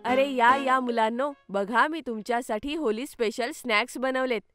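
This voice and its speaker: A woman's voice: on the high side, moderate pace, fairly bright tone, natural voice. A woman voicing a welcoming, hospitable mother in a children's cartoon story. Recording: music playing behind the voice.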